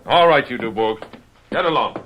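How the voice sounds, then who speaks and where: An actor in a radio mystery drama playing a stern, jealous police inspector with a pompous, formal, accusing manner. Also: male voice, medium pitch, lively intonation, normal speed, dull audio, a little hiss or hum, lightly acted. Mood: officious, jealous.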